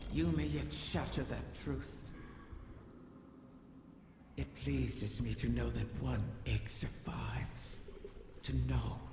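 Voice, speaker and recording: An actor voicing an ancient, sly, menacing dragon from a video game, who bargains in slow, teasing, archaic phrases. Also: medium pitch, creaky, heavily acted. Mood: sly, cryptic. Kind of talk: theatrical video game character dialogue. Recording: long cavernous reverb, faint ambient noise.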